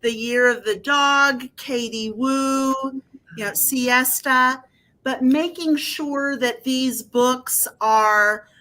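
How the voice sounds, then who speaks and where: A woman's voice: high-pitched, slow pace, pushed and bright. A woman co-hosting a literacy talk show, who talks in an affirming, chatty way and often adds her own agreement. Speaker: co-host. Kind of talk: panel discussion.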